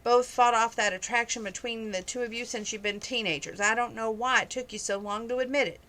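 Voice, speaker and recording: A woman reading aloud, voicing a brisk older grandmother on a ranch in a storybook. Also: a little high, fairly even intonation, fairly bright tone, natural voice.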